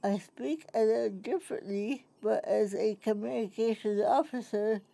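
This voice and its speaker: A woman's voice: mid-range voice, vocal fry, warm tone, dry recording, lightly acted. A woman playing a proud, smart starship communications officer who speaks with some effort in a sci-fi comedy. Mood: proud, assured. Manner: slow, effortful speech.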